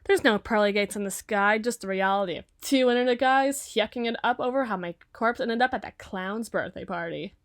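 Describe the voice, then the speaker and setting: A sardonic young detective with a sharp, obsessive streak, voiced by a woman in an ASMR roleplay. She talks in a sarcastic, teasing way and lingers on morbid details. Her voice sits at an ordinary middle pitch. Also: brisk, hardly any pauses, natural voice.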